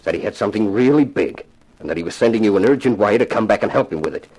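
A man's voice: on the low side, lively intonation, darkish tone, rough recording. An actor playing a grave, formal, wealthy father hiring a detective in a 1950s radio drama. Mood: earnest, formal. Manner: formal, measured, solemn phrasing.

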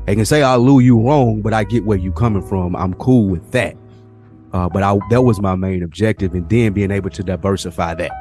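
A man's voice: low voice, a little fast, warm tone, faint music behind. A man co-hosting a podcast, talking casually in long, winding thoughts. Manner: laid-back, talks in long winding thoughts. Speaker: podcast co-host. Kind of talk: casual conversation.

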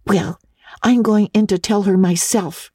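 A woman's voice: mid-range voice, steady tone, measured pace, darkish tone, slightly rough, no echo, studio quality, voice pushed up. A woman voicing an earnest, dramatic, impulsive young girl with breathless, pleading delivery, in a classic audiobook reading. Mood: anxious, resolute.

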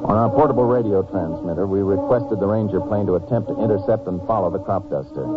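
A man playing a shrewd, steady lawman from an old radio serial, low in pitch and matter-of-fact. He narrates and questions tersely, on a muffled vintage recording with music. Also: fast talker, lightly acted. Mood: businesslike. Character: steady, shrewd male Texas Ranger investigator, narrating his case. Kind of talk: 1950s radio drama acting and narration.

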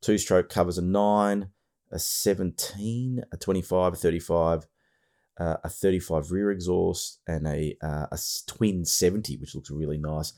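Hoarse, deep-voiced Australian man. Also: steady tone, moderate pace, a little mellow. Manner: chatty, rambling with many fillers and restarts. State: hoarse, losing his voice. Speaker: podcast host. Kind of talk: casual podcast monologue.

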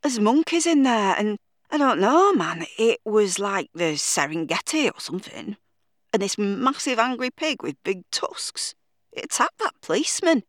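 A woman voicing a chatty, excitable young local woman, rambling in breathless chatter. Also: medium pitch, moderate pace, dry recording, clean studio sound, pitched above the performer's own voice, close to an everyday voice. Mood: excited.

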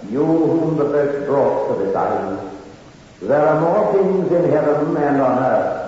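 Male actor as ghostly island spirit, cavernous reverb, very slow solemn delivery, dark ominous tone, old radio horror. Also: on the high side, highs cut off, background noise, natural voice. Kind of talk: 1960s radio horror acting.

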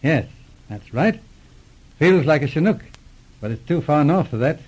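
Husky, highish-voiced Mountie sergeant. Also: male voice, expressive, measured pace, darkish tone. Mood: intrigued. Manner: decisive, exclamatory. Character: brisk, commanding Mountie sergeant. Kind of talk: 1940s radio acting. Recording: old 1940s radio recording.

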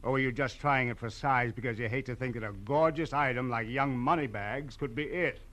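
Bright, sharp-voiced shady gumshoe acted by a man. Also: medium pitch, a little fast, lightly acted. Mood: mocking, sly. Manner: wheedling, mocking, slangy. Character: shady, mercenary male rival detective, slangy, needling, slippery. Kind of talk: 1940s radio crime drama acting.

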